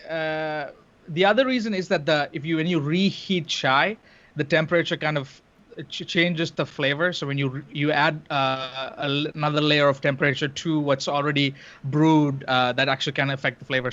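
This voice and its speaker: Sri Lankan man, Tamil first language, slightly high voice, halting laughing chat, muffled stream audio. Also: fairly even intonation, a bit bright. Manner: laughing, halting, many restarts. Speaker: guest. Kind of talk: casual livestream chat.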